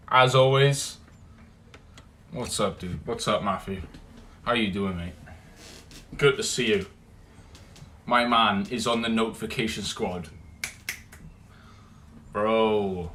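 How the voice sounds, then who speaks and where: A young Welsh man hosting a casual livestream, greeting viewers by name and chatting loosely, loud when he greets people and low and mumbled when he muses. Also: slow pace, pauses often, a little hiss or hum. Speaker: livestream host. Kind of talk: casual livestream chat reading comments.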